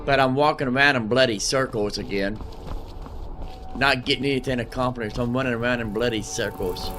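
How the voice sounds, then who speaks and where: A man's voice: medium pitch, brisk. Man musing aloud, music behind. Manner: repeats himself, musing aloud. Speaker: game streamer. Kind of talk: casual live gameplay commentary.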